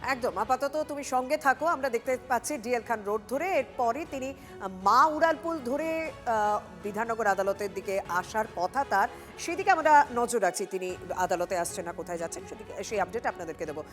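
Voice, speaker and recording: Woman news anchor, West Bengal accent, rapid delivery, raised pitch, emphatic live handovers. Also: a bit bright, faint music behind.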